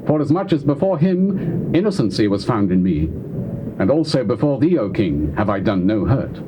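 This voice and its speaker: A British actor playing a solemn Hebrew prophet in a dramatized scripture reading, declaiming in a grave, measured way. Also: male voice, lowish voice, very animated. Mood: reverent, assured. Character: solemn male Hebrew prophet recounting dread visions. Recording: noisy old cassette tape.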